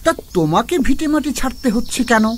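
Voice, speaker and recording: A man's voice: extremely high pitch, big pitch swings, quick pace, slight background noise, heavily acted. A man doing a jittery village commoner. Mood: curious. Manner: hushed, urgent. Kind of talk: animated cartoon voice acting.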